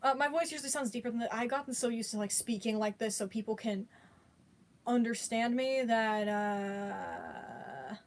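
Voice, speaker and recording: A woman's voice: fairly high pitch, expressive, normal speed. A woman chatting casually to her viewers, rambling with many fillers and restarts. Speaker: vlogger.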